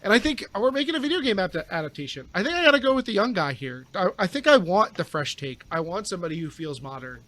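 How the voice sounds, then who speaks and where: A man with a notably high-pitched voice in relaxed, casual chat. He talks his thoughts through out loud and has a joking way about him. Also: fast talker, hardly any pauses, no room sound. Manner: thinks aloud, joking.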